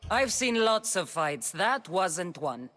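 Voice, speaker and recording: A woman's voice: on the low side, big pitch swings, slow, a bit bright, exaggerated voice. An actress playing a tough female mercenary warrior in a game cutscene, blunt and dry in manner. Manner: dry, blunt, matter-of-fact. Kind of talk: video game cutscene acting.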